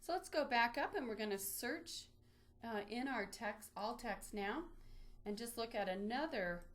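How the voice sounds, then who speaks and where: A woman's voice: a little high, animated, measured pace. A woman giving an informal, unscripted instructional walkthrough, explaining things step by step as she demonstrates on screen. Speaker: librarian giving a database tutorial.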